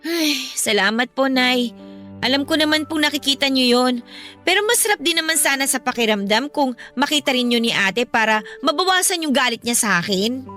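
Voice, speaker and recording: Dalagang nagrereklamo, mataas ang boses. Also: female voice, high-pitched, expressive, normal speed, bright, forceful tone, faint music behind. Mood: pleading. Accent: Filipino. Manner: plaintive, complaining. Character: frustrated eighteen-year-old daughter pleading with her mother. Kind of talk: Filipino radio drama acting.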